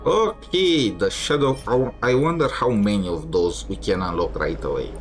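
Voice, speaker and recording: A man's voice: lowish voice, expressive, moderate pace. A man giving casual gameplay commentary, reading bits aloud, with a dry, sardonic manner. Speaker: game streamer. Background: game music.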